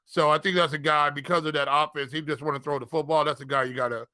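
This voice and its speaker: A male sports co-host with a bright, forward voice pitched a little high. He chats casually and colloquially, addressing listeners directly, and the sound is dry with little room to it. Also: steady tone, fast, smooth, no echo. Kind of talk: casual fantasy football chat.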